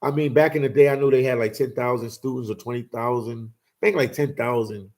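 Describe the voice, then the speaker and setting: A man's voice: medium pitch, fairly even intonation, fairly quick, a little mellow. Laid-back man chatting on a livestream. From Philadelphia. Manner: laid-back storytelling, lots of "man" and "you know". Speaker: host. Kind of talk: casual livestream chat.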